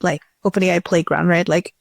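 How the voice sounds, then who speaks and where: A woman's voice: fairly low pitch, fairly even intonation, brisk, gravelly, no room sound. Woman chatting casually, close dry sound. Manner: frequent "like", restarts mid-sentence, chatty. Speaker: startup CEO, interview guest. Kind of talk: casual interview answers, anecdotal.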